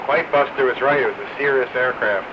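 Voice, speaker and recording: A man's voice: mid-range voice, brisk. A man in casual conversation, relating things over a two-way radio. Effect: two-way radio transmission. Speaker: amateur radio operator chatting on a radio round table. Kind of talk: casual chat. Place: radio transmission picked up by a receiver. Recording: muffled radio reception with clear static noise.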